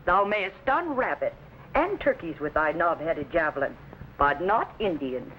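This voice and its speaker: Strict pioneer mom, old-fashioned thee n thou, lively up n down, muffled old recording. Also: female voice, sits low, a little slow. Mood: scolding, cautioning. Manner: scolding, archaic thee-and-thou phrasing. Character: stern, protective Quaker-speaking frontier mother. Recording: muffled, faintly noisy vintage recording.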